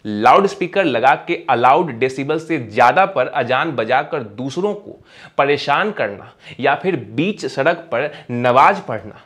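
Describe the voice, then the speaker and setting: A man's voice: mid-range voice, expressive, unhurried, a bit bright. A man delivering scripted opinion narration as a commentator, in an emphatic, rhetorical manner.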